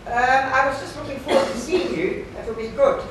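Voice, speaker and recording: A woman's voice: sits high, brisk, fairly bright tone. A woman on stage playing a friendly, eager shop manager, speaking with simple, earnest projection. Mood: pleased, keen. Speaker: stage actor. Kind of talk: live stage play dialogue. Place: theatre stage before a live audience. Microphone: far camera. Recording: echoey noisy theatre, distant camera mic.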